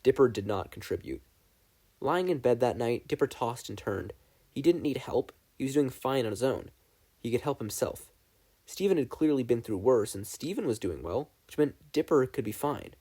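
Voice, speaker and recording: A man narrates fiction as an audiobook reader. His voice is soft-edged and mellow, and his pitch moves expressively through the sentences. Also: animated, normal speed, slightly rough. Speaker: podfic narrator.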